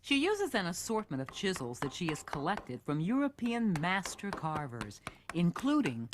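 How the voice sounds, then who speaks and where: A narrator reading scripted documentary narration in a smooth, descriptive way. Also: mid-range voice, wildly swooping pitch, moderate pace, background noise. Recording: narration played over a video with music.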